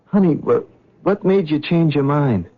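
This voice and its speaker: An actor playing a frightened cab driver and husband in a 1970s radio drama, talking nervously. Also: male voice, a little high, lively intonation, measured pace. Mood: relieved. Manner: nervous, stammering. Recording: dull old radio recording.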